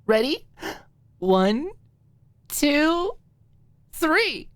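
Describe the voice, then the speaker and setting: A woman's voice: high voice, very animated, drawn-out words, no room sound, pitched above the performer's own voice, exaggerated voice. A woman voicing an eager young woman party planner who gushes and squeals in an audiobook. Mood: excited. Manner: squealing, gushing, breathless eagerness.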